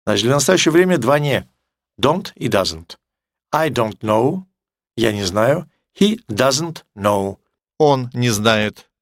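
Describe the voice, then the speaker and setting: A Russian-speaking man teaching English grammar, explaining with emphatic repetition and slowing down for English examples in a Russian accent. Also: medium pitch, animated, dry recording, clean studio sound. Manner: emphatic, repeats key words, slows down for English examples.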